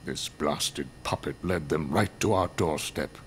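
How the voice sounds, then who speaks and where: An actor playing a bitter, scheming older man in a video game cutscene, speaking with slow contempt in a deep, gravelly voice. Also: a little hiss or hum. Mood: scornful.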